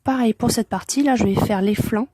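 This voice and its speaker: A woman's voice: a little high, a little fast, warm tone, dry recording, studio quality. A woman with a head cold hosting an informal hands-on colouring tutorial, murmuring hesitant, short step-by-step remarks as she works. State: congested with a cold.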